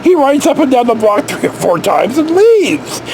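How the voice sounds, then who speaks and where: A man's voice: very high voice, normal speed, noisy background. A middle-aged man with an American accent telling personal stories in a casual, rambling, salty way, recorded indoors on a camera at arm's length. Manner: salty, sweary, animated reminiscing. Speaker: storyteller, vlog host. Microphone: camera held at arm's length.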